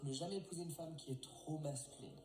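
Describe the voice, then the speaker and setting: Preachy male coach over loud music. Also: a little high, quick pace. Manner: preachy, assertive. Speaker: self-help coach. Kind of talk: lecturing video clips. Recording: echoey clip with loud music.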